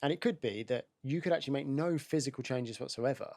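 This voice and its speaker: A man interviewing a guest in a casual chat, agreeing often and thinking out loud. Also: mid-range voice, fast. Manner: affirming, lots of "yeah".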